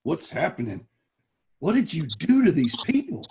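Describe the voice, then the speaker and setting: A woman's voice: sits low, big pitch swings, normal speed, a little mellow, husky, exaggerated voice. A woman reading the part of a frightened, guilty saloon owner in a table read over a video call, exclaiming in alarm. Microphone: video call mic.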